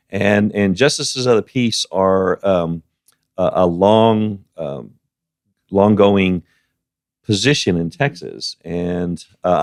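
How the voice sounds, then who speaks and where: An older man giving conversational interview answers in a halting, plain-spoken way, repeating words as he goes. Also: deep voice, no room sound, clean studio sound. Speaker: guest, justice of the peace.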